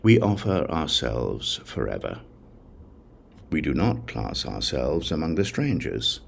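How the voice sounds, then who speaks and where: A man with an extremely low, gravelly voice narrates a sacred text solemnly. He reads slowly and deliberately in a measured recitation, like a scripted audiobook, with lively rises and falls in pitch. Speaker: audiobook reader.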